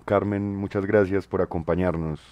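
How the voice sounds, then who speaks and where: Deep-voiced male host, dry sound. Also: steady tone, normal speed, a little mellow, no echo. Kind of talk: introducing a guest. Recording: dry, dull lo-fi audio.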